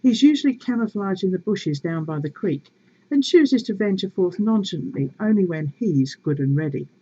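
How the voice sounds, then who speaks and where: A woman reading a story aloud in a measured, expressive and wry way, with casual asides to her viewers. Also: mid-range voice, breathy edge, muffled recording.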